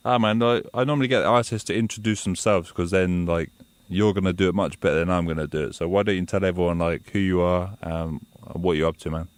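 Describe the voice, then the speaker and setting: Deep-voiced, chatty radio host. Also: male voice, fairly even intonation, quick pace, no echo. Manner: enthusiastic, chatty, says "man" a lot. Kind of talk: radio interview.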